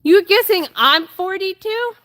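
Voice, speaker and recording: A woman's voice: extremely high pitch, expressive, measured pace, a bit bright. A woman in her late twenties chatting casually and informally on a live workout stream, often repeating herself. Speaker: livestreamer doing a workout live. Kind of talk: casual livestream chat while exercising. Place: indoor gym. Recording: noisy gym ambience, varying mic distance.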